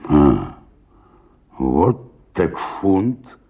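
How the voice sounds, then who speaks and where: A man's voice: very deep voice, wildly swooping pitch, extremely slow, gravelly, full character voice. A man playing a boozy, self-mocking comic actor of sixty-eight in a radio play, theatrical and grumbling, on a slightly dull recording. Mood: groggy, bemused. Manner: theatrical muttering that swings into hollering.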